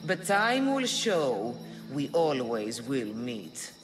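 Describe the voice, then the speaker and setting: A woman's voice: rumbling low, big pitch swings, takes it slowly, over music, heavily acted. A woman voicing an ancient, imperious female Sphinx in a video game, reciting grandly and tauntingly. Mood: riddling, menacing. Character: ancient riddling Sphinx, imperious female monster guarding power. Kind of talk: video game character acting.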